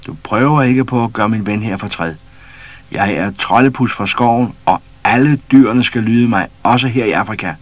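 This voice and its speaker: A man voicing a bold, cheeky young troll boy who gives orders loudly in a storybook reading. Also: deep voice, no room sound.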